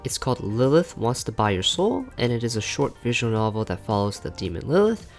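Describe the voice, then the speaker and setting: Young male narrator for a dev log: low, gravelly voice, informal explainer delivery, faint music underneath. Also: very animated, a little fast. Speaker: software engineer and video creator narrating a dev log. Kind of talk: scripted narration.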